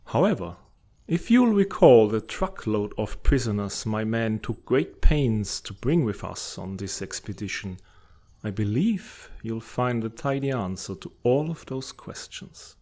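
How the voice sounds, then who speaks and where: Man playing a slow-talking, sinister scheming villain. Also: on the low side, expressive, mellow. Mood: smug, assured. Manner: measured, formal, theatrical. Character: sinister scheming male villain, coolly menacing and self-assured. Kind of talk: dramatic comic fandub acting.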